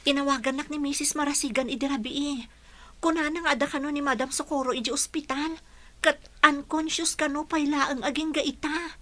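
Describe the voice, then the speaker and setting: A woman's voice: high-pitched, fairly even intonation, brisk, bright, forceful tone, no echo. A woman playing a dutiful, anxious young student teacher in an Ilocano radio drama. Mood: concerned.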